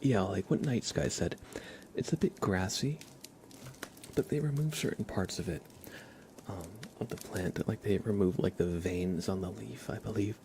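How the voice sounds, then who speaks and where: Male ASMR streamer, soft and breathy with heavy fry, dark relaxed tone, often whispering, informal and rambling, close-miked. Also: sits low, moderate pace, slight background noise. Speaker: ASMR livestream host. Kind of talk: soft-spoken ASMR, casual live chat.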